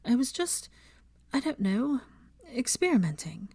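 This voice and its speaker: A woman's voice: a little high, sing-song, measured pace, darkish tone, breathy edge, dry recording, pitched above the performer's own voice, full character voice. A woman playing an earnest, principled and easily flustered young woman in a storybook reading. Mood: anxious, confiding. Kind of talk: dramatised audiobook character dialogue.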